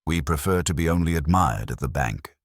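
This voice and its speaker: Man playing a deep, prim, pompous solicitor. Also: expressive, a little fast, dry recording, studio quality. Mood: wry, discreet. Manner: formal, fussy, precise wording. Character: prim, cautious, wryly pompous male small-town solicitor.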